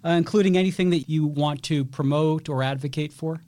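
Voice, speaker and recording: A man's voice: a little high, brisk, dark tone. A man hosting an interview podcast who asks questions and reads a sponsor message in a polished, enthusiastic, formal way. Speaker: podcast host.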